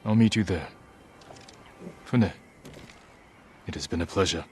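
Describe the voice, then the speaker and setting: An actor playing a stern, resolute samurai in a video game, speaking formally and with measure. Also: male voice, sits low, unhurried, darkish tone, a little hiss or hum. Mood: confident. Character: stern, resolute, honourable male samurai warrior. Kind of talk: video game cutscene acting.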